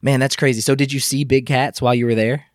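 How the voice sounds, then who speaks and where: A relaxed host in casual chat, laughing often and asking rambling questions. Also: medium pitch, fast, no room sound, studio quality.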